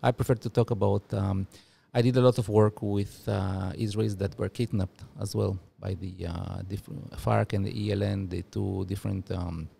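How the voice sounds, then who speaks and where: Gravelly low-voiced man, halting. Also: little pitch movement, warm tone. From Uruguay. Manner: halting, many restarts and fillers. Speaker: guest, former diplomat. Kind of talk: podcast interview. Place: studio office room. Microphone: studio mic with headphones.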